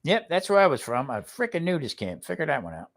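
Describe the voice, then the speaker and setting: Lively high-voiced New Jersey man. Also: fast talker. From New Jersey, United States. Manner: blunt, rambling, often checks with listeners. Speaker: live show co-host. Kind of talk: casual livestream chat and storytelling.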